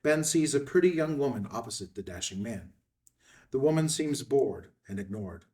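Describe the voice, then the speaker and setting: Male narrator for screenplay action lines, measured and terse, medium pitch, recorded at some distance. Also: animated, warm tone. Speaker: narrator reading stage directions. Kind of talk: scripted narration at a table read.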